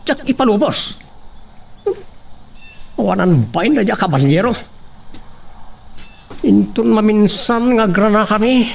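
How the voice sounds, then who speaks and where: A man's voice: high voice, slow pace, creaky, pauses often, full character voice. A man with an Ilocano accent acting as a defiant, scoffing hothead in a radio drama. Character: defiant, scoffing hothead servant ready to retaliate. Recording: distant mic with faint insect noise.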